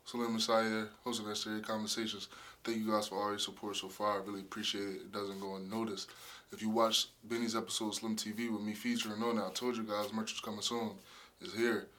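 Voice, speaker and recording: A young man from the USA hosting a casual podcast chat, talking with easy slang and animated energy. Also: fairly low pitch, fast. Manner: lively, animated, slangy, does voices when retelling. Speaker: podcast host.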